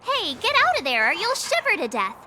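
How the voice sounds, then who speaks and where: A woman's voice: very high-pitched, huge pitch swings, fairly quick, background noise, full character voice. A woman voicing a perky, confident, impatient teenage girl adventurer in a video game, with a pert, breezy delivery. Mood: confident, teasing. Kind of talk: animated video game voice acting.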